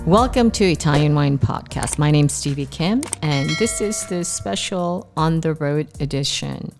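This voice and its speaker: A woman with a slightly low voice hosts a relaxed interview recorded on the road. She teases her guest playfully and breaks into mock outrage, and faint music sits behind her. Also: measured pace. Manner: playful, teasing, bursts of mock indignation. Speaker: podcast host. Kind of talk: casual teasing interview.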